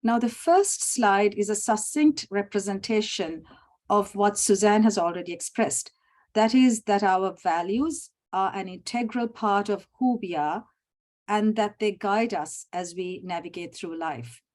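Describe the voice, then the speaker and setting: A middle-aged woman from Sri Lanka, giving a formal prepared presentation over a video call. She is measured and often clears her throat. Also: mid-range voice, a little mellow. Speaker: summit presenter.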